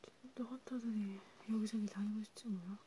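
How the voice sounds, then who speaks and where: A woman whispering in an ASMR role play as a calm vampire medic, in unhurried, sparse phrases with pauses. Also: medium pitch. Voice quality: breathy whisper. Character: calm female vampire medic treating a wounded friend. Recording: background noise, amateur home recording.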